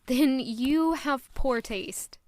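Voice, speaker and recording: Woman acting a self-critical young fantasy heroine, higher-voiced. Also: lively intonation, extremely slow, dry recording, full character voice. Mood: flustered, defensive. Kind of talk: audio drama acting with first-person narration.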